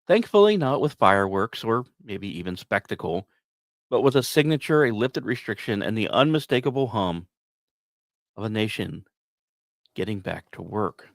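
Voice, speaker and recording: Animated male podcast narrator, very dry sound. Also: fairly low pitch, gravelly, no room sound. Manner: storyteller-like, dramatic pauses and emphasis. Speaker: podcast host. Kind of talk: scripted narration on space news with casual asides.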